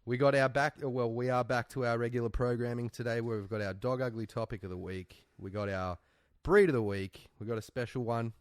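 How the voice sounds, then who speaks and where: A man co-hosting a casual podcast, hungover and worn out, joking and laughing loudly. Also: medium pitch, fairly quick, few pauses, dry recording, clean studio sound. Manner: loud, laughing, joking banter. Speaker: podcast co-host. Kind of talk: casual chat.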